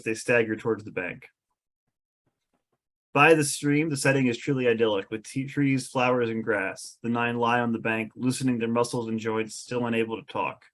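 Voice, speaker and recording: A man's voice: mid-range voice, fairly bright tone. Man narrating a fantasy screenplay. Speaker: narrator reading stage directions. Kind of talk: scripted narration at an amateur comedic table read.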